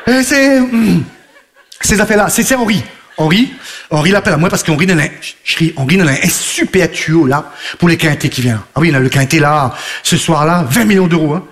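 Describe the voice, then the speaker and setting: A man's voice: fairly high pitch, a little fast, lightly acted. A man playing a boastful, party-loving husband who juggles his wife and mistress in a radio comedy sketch, talking in broken French, rambling and laughing. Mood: flustered, chatty. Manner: sing-song chanting and sudden shouting.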